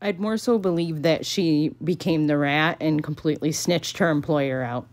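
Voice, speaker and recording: A woman's voice: deep voice, moderate pace. A woman giving dry, skeptical reaction commentary in a sardonic manner. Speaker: video commentator. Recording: faint background noise.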